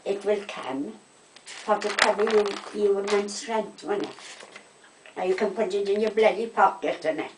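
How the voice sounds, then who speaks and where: An older woman with a South Wales Valleys accent, chatting casually and telling anecdotes in an animated way. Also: medium pitch, normal speed, noisy background. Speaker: storytelling interviewee. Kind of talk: casual chat, personal anecdotes. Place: indoors at a house. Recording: roomy echo, distant microphone.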